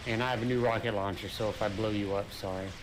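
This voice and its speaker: A man's voice: fairly low pitch, steady tone, fast talker. A man chatting casually while playing a co-op game, explaining his points with careful qualifiers. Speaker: co-op gaming partner. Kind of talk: casual gameplay chat. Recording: clear background noise.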